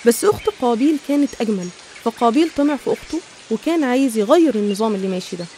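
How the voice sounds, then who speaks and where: A woman's voice: fairly high pitch, expressive, rapid-fire, dark tone, slight background noise. A woman with an Egyptian accent narrating a scripted religious story.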